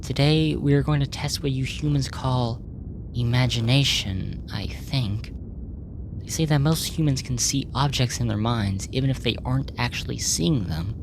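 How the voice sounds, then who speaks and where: A woman's voice: normal speed, creaky. A woman playing a curious, earnest alien researcher in an ASMR roleplay, speaking formally and clinically, puzzled by human feelings. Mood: pleased, fascinated. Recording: noisy with low rumble.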